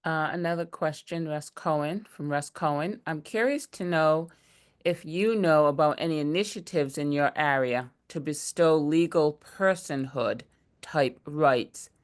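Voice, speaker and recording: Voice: mid-range voice, unhurried. A moderator reading out audience questions from a chat over a video call, with brief asides. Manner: reads chat comments aloud, brief asides.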